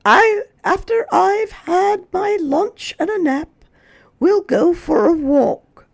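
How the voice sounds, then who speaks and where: A kindly old storybook grandmother, as a reader puts her on, talks in a high, lilting voice. She sounds spirited and stretches her words. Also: lively intonation, slow, no room sound, studio quality, exaggerated voice. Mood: agreeable.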